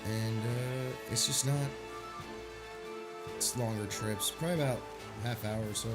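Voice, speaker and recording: A man's voice: medium pitch, measured pace, over loud music. A man streaming a game, chatting casually and rambling, stuffy from a sinus headache. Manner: rambling, laid-back, swears casually.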